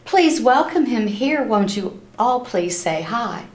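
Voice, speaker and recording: A woman voicing a proper, brisk second-grade teacher from a storybook, announcing clearly to her class. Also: a little high, expressive. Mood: bright announcing. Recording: roomy, echoing room sound.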